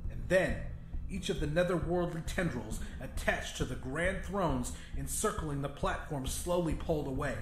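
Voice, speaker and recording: A man's voice: a little high, moderate pace. A man reading a scripted horror story as an audiobook narrator. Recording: roomy echo with music. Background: music.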